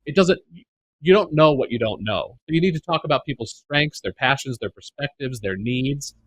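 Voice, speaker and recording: A man's voice: mid-range voice, expressive, normal speed, rough recording. A man with an American accent, a podcast guest speaking earnestly about the workplace, with plenty of fillers and restarts. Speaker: podcast guest, neurodiversity advocate. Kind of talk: casual interview conversation.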